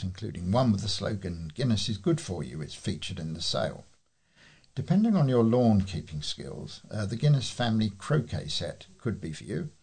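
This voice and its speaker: Guy reading out local news, bit low and husky, kinda informal. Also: a little mellow. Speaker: volunteer local news reader. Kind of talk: reading news articles aloud.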